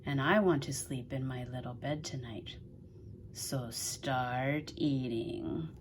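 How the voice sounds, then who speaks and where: A woman voicing a stern, composed older village woman in a storybook, speaking in a measured, firm way. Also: very deep voice, steady tone, heavy background noise. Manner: measured, firm, matter-of-fact.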